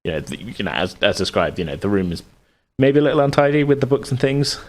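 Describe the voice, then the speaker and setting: A man's voice: medium pitch, sing-song, fast talker. A man working as game master, narrating a tabletop game in a conversational, meandering, slightly hesitant way.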